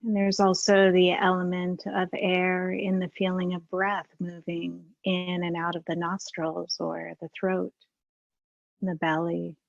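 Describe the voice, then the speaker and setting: A woman guides a meditation in a slightly low voice that stays very even. She is unhurried, leaving long pauses between phrases. The sound is dry and close to the room-free, though a little dull and lo-fi. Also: gravelly, no room sound. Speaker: meditation teacher.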